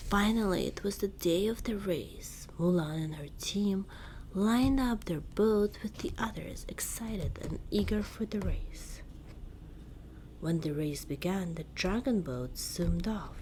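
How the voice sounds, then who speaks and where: Slow, whispery woman reading a storybook. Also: medium pitch, low-quality recording. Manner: soft-spoken, deliberate, drifting into whispers. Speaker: ASMR storyteller. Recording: clear background noise.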